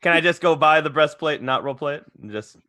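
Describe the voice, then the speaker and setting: Bright-voiced stammering tabletop player. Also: male voice, on the high side, fast. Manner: stammering, impatient to move on. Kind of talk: casual tabletop play chat.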